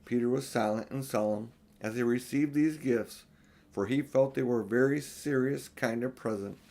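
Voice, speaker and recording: An older man narrating a children's audiobook expressively, with unhurried, deliberate phrasing. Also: medium pitch, warm tone. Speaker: audiobook reader.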